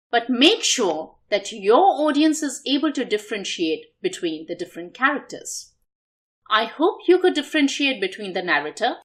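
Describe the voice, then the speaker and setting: Expressive, high-pitched woman coaching. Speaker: public speaking coach. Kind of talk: instructional talk to viewers.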